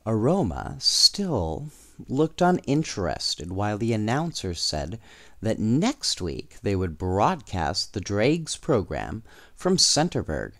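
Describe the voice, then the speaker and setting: Slow, very expressive man reading a bedtime story. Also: low voice, no room sound, clean studio sound. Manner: expressive, unhurried. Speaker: storybook reader.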